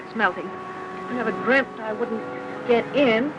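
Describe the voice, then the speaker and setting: An actress playing a fussy, chatty older aunt who rambles and nags, in the style of a 1950s film. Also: female voice, on the high side, moderate pace, lo-fi sound, lightly acted. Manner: rambling, nosy, nagging. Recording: hissy old film soundtrack.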